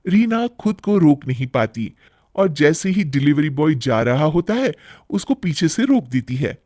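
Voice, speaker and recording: A man's voice: on the high side, sing-song, a little slow, mellow, no room sound, clean studio sound. A man narrating a scripted moral story as its storyteller.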